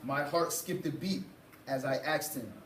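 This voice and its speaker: A young man reading a children's story aloud to a class with expressive, dramatic phrasing, as a student teacher. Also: a little high, unhurried. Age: young adult. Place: indoor school classroom. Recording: roomy, distant mic, faint noise.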